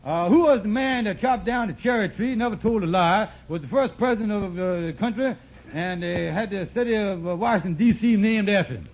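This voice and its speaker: High-voiced blustering radio con man. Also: brisk. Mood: blustering, slick. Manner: blustering, hesitant, ungrammatical. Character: fast-talking scheming husband and con man with poor grammar. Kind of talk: mid-century radio sitcom acting. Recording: muffled, noisy vintage radio recording.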